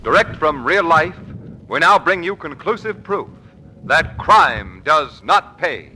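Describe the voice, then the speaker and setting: A man's voice: on the high side, sing-song, slow pace, noisy background. A man doing polished, dramatic scripted announcing in a 1940s radio style, on an old broadcast recording.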